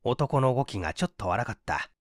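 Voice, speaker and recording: A man's voice: medium pitch, lively intonation, fairly quick, no room sound, studio quality. A man reading literary fiction aloud as a scripted audiobook narrator.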